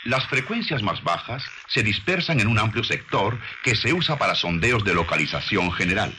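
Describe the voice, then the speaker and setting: A man narrating a scripted science documentary in a measured, formal, didactic manner on an old soundtrack. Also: fairly low pitch, sing-song, noisy background.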